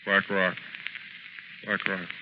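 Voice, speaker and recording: A man's voice: low voice, little pitch movement, slow pace. An actor playing a guilty, fearful watchman under hypnosis, mumbling groggily in a 1940s radio drama. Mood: drowsy, entranced. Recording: muffled, noisy vintage radio recording.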